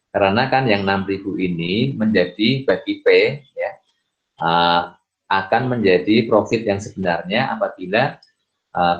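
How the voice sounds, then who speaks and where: Low-pitched man lecturing, dull recording. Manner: halting, often repeats words and checks with "ya". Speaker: accounting lecturer. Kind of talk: explanatory lecture with worked examples.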